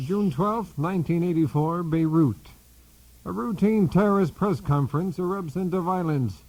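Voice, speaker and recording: Male actor as self-important anchorman, solemn mock-news read, warm and slightly high voice. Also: rough recording. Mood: grave. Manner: solemn, measured. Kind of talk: mock news broadcast narration.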